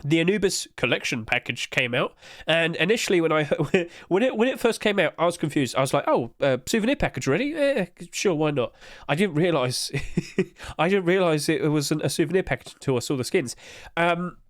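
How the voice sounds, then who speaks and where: A man's voice: on the high side, very animated, a little fast, dry recording, studio quality. A man with a blocked-up nose, chatting casually over his screen about in-game item prices, loose and full of fillers. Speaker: gaming market commentator. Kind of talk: casual screen-share commentary.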